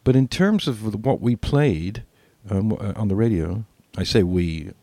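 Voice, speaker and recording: An older man hosting a podcast, chatting reflectively in a halting, rambling way. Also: on the low side, expressive, mellow, no echo. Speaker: podcast host. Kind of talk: reflective casual chat.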